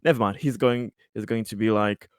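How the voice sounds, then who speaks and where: A man's voice: fairly low pitch, a little fast, clear, resonant tone, dry recording, studio quality. A man talking casually as a player in a tabletop roleplaying game.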